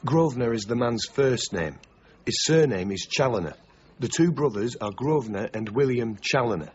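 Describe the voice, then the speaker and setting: An actor playing a plain-spoken, gossipy criminal informant in a period radio drama. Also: male voice, mid-range voice, expressive, normal speed, highs cut off, slight background noise, natural voice. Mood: matter-of-fact. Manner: blunt, gossipy.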